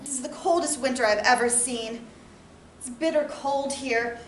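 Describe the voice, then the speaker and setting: A woman's voice: high voice, moderate pace, a faint crowd, close to an everyday voice. An actress playing a loving, anxious farm wife of the 1860s, speaking her letters to her husband as an emotive stage monologue in plain, old-fashioned rural phrasing. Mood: worried, tender. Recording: roomy, distant mic with background noise.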